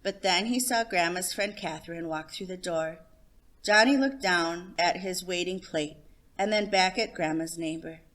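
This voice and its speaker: A woman's voice: lowish voice, normal speed, fairly bright tone. A woman storyteller giving a scripted narration of a children's story.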